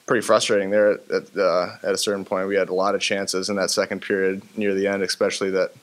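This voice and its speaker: A young man, a college hockey player, answering questions at a postgame press conference at a table microphone, low-key and halting with many fillers. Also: level tone. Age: young adult. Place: press room with sponsor backdrop.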